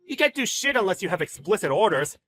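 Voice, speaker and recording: A smug bullying male boss, put on by a man for an acted story. His voice is high and swings widely, with a bright, forceful edge. He talks in taunting, slangy exclamations, full of contempt. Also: very animated, quick pace, soft background music. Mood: scolding, contemptuous. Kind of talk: dramatized story narration with character voices.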